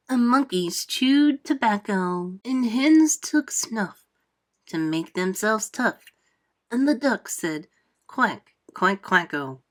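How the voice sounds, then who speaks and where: A vain, showy centipede, as a woman reads him. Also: a little high. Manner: slow sing-song delivery.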